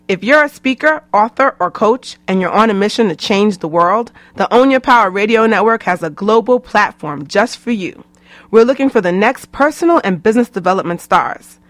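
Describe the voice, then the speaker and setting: A woman's voice: mid-range voice, expressive, normal speed, no room sound. A woman coach delivering a scripted radio promo on a close mic.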